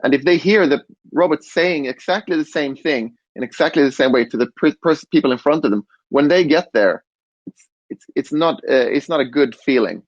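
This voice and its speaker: A man on a conference panel explaining design, relaxed, with fillers and restarts. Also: fairly high pitch, normal speed, no room sound, muffled recording. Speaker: robot interaction designer.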